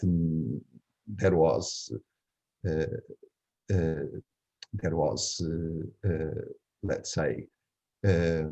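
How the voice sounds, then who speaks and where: Deep-voiced older man with Portuguese accent. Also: dark tone, low-quality recording. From Angola. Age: 60s. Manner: hesitant, measured, searching for words. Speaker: interviewee. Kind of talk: reflective conversational storytelling.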